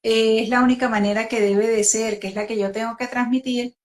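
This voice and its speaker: A woman born in Venezuela hosting a talk, speaking reflectively and with measured restarts about raising her children. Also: on the high side, fairly even intonation. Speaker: host, mother. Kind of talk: conversational talk.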